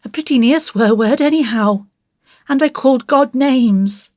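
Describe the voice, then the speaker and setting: Lady doing a little naughty kid boy for an audiobook, high pitched, pleading n sorry, blurts stuff out. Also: moderate pace, no echo, studio quality, natural voice. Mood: anxious, blurting. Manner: pleading, childlike, blurting, colloquial. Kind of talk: audiobook character voice.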